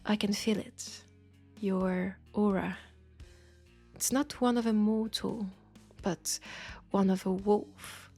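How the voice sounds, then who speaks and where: A woman with a French accent playing a knowing, teasing witch in an intimate roleplay audio drama, with husky, breathy speech and dramatic pauses. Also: medium pitch, steady tone, dark tone, faint music behind. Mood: knowing, mysterious. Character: knowing, teasing, compassionate witch in a secluded werewolf town.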